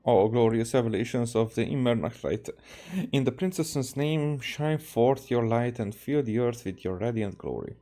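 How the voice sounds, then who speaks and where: A man voicing a grandiose, theatrical teenage girl from a game, reading her florid lines haltingly. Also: medium pitch, warm tone, natural voice. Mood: exalted. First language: Hungarian. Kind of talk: reading game dialogue aloud.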